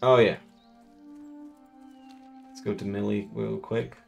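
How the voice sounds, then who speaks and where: A man's voice: lowish voice, little pitch movement, slow pace, gravelly, a little mellow, faint music behind. A Dutch man with a Dutch accent, narrating a tabletop game as host and game master and chatting casually while he plays, laid-back and swearing casually. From Netherlands. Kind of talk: casual gameplay commentary.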